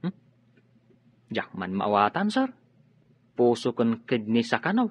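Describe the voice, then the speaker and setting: An actor playing a humble, respectful family driver in a radio drama. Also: male voice, medium pitch, big pitch swings, measured pace, husky. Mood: deferential. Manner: respectful, simple. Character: deferential male family driver, humble, loyal. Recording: dull lo-fi radio sound.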